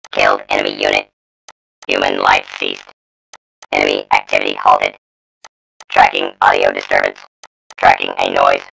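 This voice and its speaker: A man's voice: very deep voice, vocal fry, sharp tone, breathy edge, full character voice, rough recording. A man voicing a skittish robotic battle droid soldier in a video game, with a synthetic filtered timbre and clipped, stilted military phrasing. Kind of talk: video game character voice lines.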